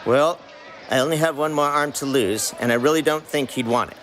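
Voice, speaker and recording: A man's voice: on the high side, brisk, a bit bright, some chatter behind, a little hiss or hum, lightly acted, poor audio. A man voicing a cocky, impatient teenage boy in a fan fiction reading, offhand and dismissive.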